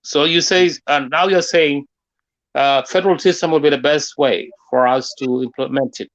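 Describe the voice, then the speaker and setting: A man's voice: fairly high pitch, fairly even intonation, normal speed, fairly bright tone, highs cut off. A man from South Sudan with a South Sudanese accent, hosting an unscripted political discussion in a discursive way, often saying "you know". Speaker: interviewer, forum host.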